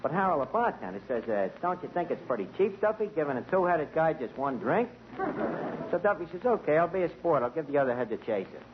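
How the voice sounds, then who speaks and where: High lively 1940s radio comedian. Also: male voice, fast. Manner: tough-guy storytelling with malapropisms. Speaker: tavern manager character, lead comic. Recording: dull 1940s radio broadcast with faint hiss.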